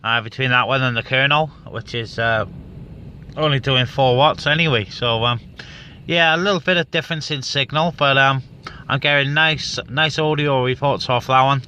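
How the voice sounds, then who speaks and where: A man's voice: mid-range voice, normal speed, a little hiss or hum. A man, a CB radio hobbyist, chatting casually and rambling with lots of fillers from inside a parked vehicle. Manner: relaxed, rambling, many fillers. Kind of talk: casual chat to camera.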